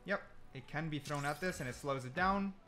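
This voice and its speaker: A man's voice: a little high, a little fast. A man giving casual, slangy gameplay commentary, thinking aloud as he goes. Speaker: gaming content creator.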